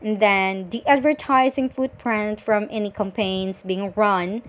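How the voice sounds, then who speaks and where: A woman from the Philippines with a Filipino accent, speaking politely and deliberately as a virtual assistant on a scripted sales call. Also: medium pitch, steady tone. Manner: polite, deliberate, frequent acknowledging murmurs.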